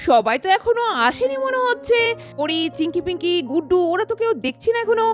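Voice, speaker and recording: A woman's voice: very high voice, sing-song, quick pace, lo-fi sound, faint music behind. A woman voicing a kindly, busy mother or schoolteacher in a children's cartoon, reassuring and motherly. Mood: kindly, brisk.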